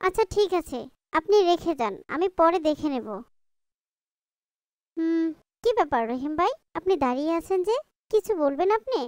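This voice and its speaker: A woman's voice: very high-pitched, lively intonation, moderate pace, long pauses, darkish tone, no room sound, studio quality. A woman playing a kind, pious mother in an Islamic moral cartoon, speaking in an earnest, patient way. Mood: kindly, polite.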